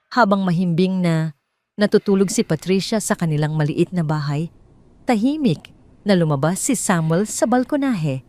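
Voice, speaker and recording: Lower-pitched Filipino woman narrating a dramatic story. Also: a little mellow, no echo. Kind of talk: scripted dramatic narration in third person.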